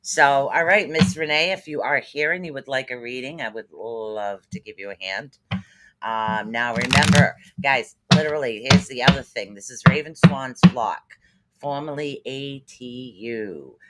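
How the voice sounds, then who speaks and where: A man with a Boston-sounding East Coast American accent chats on a livestream and gives spirit readings. He is upbeat and rambling, loops back over his own words and fills pauses with "um" and "uh". His voice sits at an ordinary male pitch. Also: heavy background noise. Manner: rambling, upbeat, heavy on fillers and repeats. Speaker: spirit reader and livestream host. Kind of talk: casual livestream chat with psychic readings.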